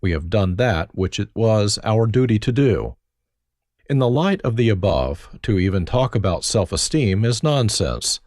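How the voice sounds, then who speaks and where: A man reads his own life story, his low voice rising and falling with a lot of movement. His humor is dry and self-mocking. The sound is dry and close, with no room around it. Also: very animated, unhurried, a little mellow, no room sound. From Germany. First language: German. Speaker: autobiography narrator. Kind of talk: reflective first-person memoir reading.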